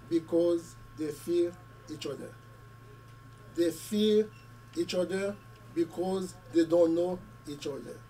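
A middle-aged man, hoarse from a cold, giving an earnest speech at a community meeting in short, paused phrases. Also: fairly high pitch, mellow. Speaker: school committee member. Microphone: podium mic, camera at a distance. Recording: distant mic with faint room noise.

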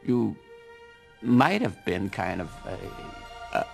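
An awkward cartoon goose father with a creaky voice. He speaks slowly and haltingly, hesitating as he goes. Faint music plays behind him. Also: lowish voice, big pitch swings, full character voice. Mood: awkward, hesitant. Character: hesitant old goose father in animated film.

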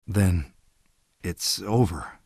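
A man's voice: low-pitched, drawn-out words, vocal fry, darkish tone, slightly rough, no room sound, studio quality, heavily acted. A man playing a cocky, sarcastic tough horror action hero in a video game, with a sardonic swagger. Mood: grim. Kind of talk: video game cutscene acting.

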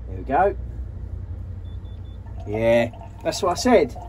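A man's voice: mid-range voice, sing-song, slow pace, dark tone. A middle-aged British man hosting a vlog, chatting casually to camera in a jokey, teasing way. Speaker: vlog host. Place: camper van, farm yard and outdoor spots. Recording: clear ambient noise on camera audio.

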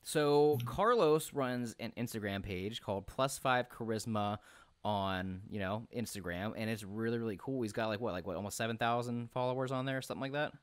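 A young man hosting a podcast over a video call, talking quickly with many fillers into a headset and studio mic in a small room at home. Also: mid-range voice, clear, resonant tone, no room sound. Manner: fast, rambling, many fillers. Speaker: podcast host. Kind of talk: casual video call chat. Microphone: headset with large studio mic.

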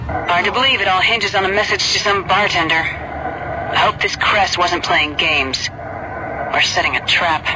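A man voicing a practical pilot who speaks briskly and plainly over radio chatter in a game. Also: high-pitched, noisy background. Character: practical male freelance pilot leading a group. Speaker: squad member in a space game.

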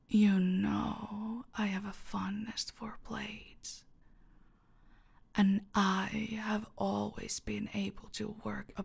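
Woman acting a creaky, slow-talking female cartel boss. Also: mid-range voice, exaggerated voice. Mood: sinister whisper. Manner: condescending, slow, deliberate, often dropping to whisper. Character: menacing, silky, aristocratic female cartel boss, gloating villain. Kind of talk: dramatic roleplay audio monologue.

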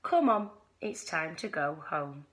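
Resourceful older sister in a children's picture book read by a woman: young girl, unflustered, low-key, practical. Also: big pitch swings, slow, a bit bright, heavily acted. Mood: calm, reassuring.

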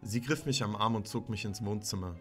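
A man's voice: lowish voice, a little fast, a bit bright, close to an everyday voice. A man playing a sullen, troubled teenage son, bitterly narrating his own horror story in a dramatic way. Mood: defiant, tense. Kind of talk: scripted first-person horror narration. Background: music.

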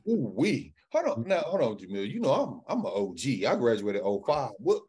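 Host: man in his thirties, husky voice with a lively pitch, playful and teasing, video call. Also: normal speed. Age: 30s. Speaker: podcast host. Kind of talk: casual interview chat. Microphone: video call webcam.

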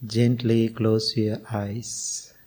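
Slow, mellow man guiding meditation. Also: lowish voice, level tone. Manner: slow, unhurried phrases with long pauses. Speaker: Buddhist monk and meditation teacher. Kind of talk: guided meditation and spiritual talk.

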